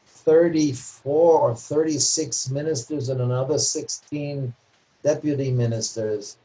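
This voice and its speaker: A man's voice: medium pitch, warm tone, recorded from a distance, low-quality recording. An older man, an author giving a casual talk over a video call, telling stories in a candid, dry, opinionated way. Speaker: guest lecturer and author. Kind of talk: casual talk to a community group.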